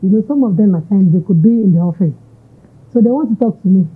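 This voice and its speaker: A Nigerian businesswoman talks through her story in an interview, easily slipping Yoruba phrases into her English. Her voice is husky and of middling pitch. The recording sounds thin and phone-like. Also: animated, fast talker. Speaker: entrepreneur, interviewee. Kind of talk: conversational interview answers. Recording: tinny narrow-band audio.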